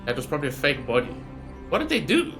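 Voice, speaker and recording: A man's voice: on the high side, wildly swooping pitch, quick pace, vocal fry, music in the background. A man giving casual gameplay reaction commentary as a streamer, thinking aloud in questions and guesses.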